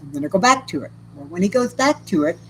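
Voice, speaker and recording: A woman hosting a casual chat, speaking with a loud, big voice while chiming in and prompting her co-host. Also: on the low side, big pitch swings, quick pace, slight background noise, rough recording.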